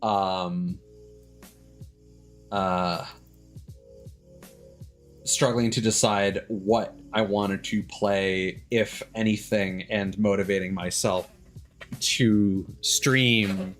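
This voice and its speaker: A man chatting casually as he hosts a game stream, rambling and halting, with stammers and offhand swearing. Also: fairly low pitch, fairly even intonation. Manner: casually profane. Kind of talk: casual livestream chat. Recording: music playing behind the voice.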